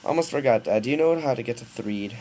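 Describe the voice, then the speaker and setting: A man's voice: mid-range voice, fast, mellow, slight background noise, natural voice, low-quality recording. Man reading as a blunt boy inventor. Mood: confident. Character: bespectacled blond boy inventor, blunt and confident.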